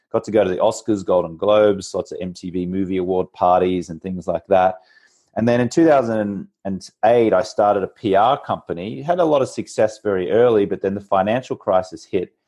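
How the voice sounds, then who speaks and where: A man's voice: low-pitched, a little mellow. A man in his forties from Australia with an Australian accent, telling his story casually as a podcast guest. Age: 40s. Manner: chatty, informal.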